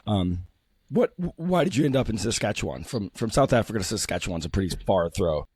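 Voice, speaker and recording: A man hosting a podcast interview while losing his voice from a cold, chatting in a friendly, halting way with many fillers. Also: fairly low pitch, lively intonation, darkish tone, breathy edge, dry recording. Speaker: podcast host. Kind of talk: casual interview chat.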